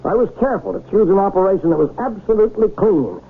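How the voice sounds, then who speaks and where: An actor playing a skeptical, folksy small-town mayor and pharmacist, plainspoken, in an old-time radio drama. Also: male voice, high voice, animated, fast, a little hiss or hum. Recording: muffled old radio broadcast recording.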